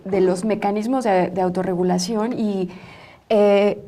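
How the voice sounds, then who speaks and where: A woman's voice: mid-range voice, steady tone, a little slow, warm tone. A woman with a Mexican accent in an informal studio discussion, talking emphatically and often checking for agreement. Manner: conversational, emphatic, seeks agreement with tag questions. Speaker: panel guest, journalist.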